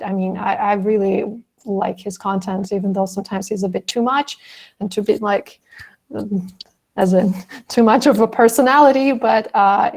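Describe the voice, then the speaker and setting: A woman's voice: medium pitch, vocal fry, darkish tone. Laughing, upbeat woman moderating a call. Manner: bubbly, laughs often, encouraging. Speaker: roundtable moderator. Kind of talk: casual online roundtable chat. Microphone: video call.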